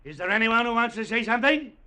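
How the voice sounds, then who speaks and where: An actor playing a pompous Martian commander, making formal, declamatory speeches in an old-time radio drama. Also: male voice, extremely high pitch, lively intonation, quick pace, sharp tone, full character voice. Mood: solemn, grand. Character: pompous Martian commander addressing his victorious troops.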